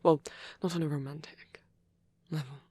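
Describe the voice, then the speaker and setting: A gentle, protective vampire priest, acted by a man for an ASMR roleplay, murmurs in an extremely breathy voice that keeps slipping into whispers. He is halting and earnest, as if carefully confessing his love. The sound is close and dry. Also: fairly high pitch, steady tone, no room sound. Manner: hesitant, halting, earnest delivery. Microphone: close microphone, dry sound.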